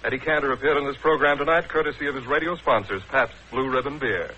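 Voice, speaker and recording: Brisk, bright American radio announcer, a man. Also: medium pitch. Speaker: network announcer. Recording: dull, hissy 1940s radio recording.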